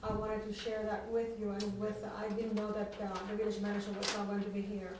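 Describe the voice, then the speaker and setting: A woman with a mid-pitched, flat voice passes on announcements, pausing and hunting for the right words. She is caught from far away in a strongly echoing chamber with a faint hum. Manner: hesitant, searching for words. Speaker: council member. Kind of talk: announcements at a council meeting. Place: council chambers. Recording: very echoey chamber, distant mic.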